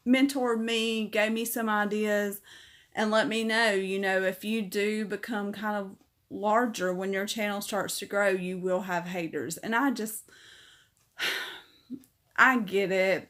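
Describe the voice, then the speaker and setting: Female livestream host, middle-aged, casual and chatty. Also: medium pitch. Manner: warm, chatty, reads comments aloud and answers them. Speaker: livestream host reading viewer comments.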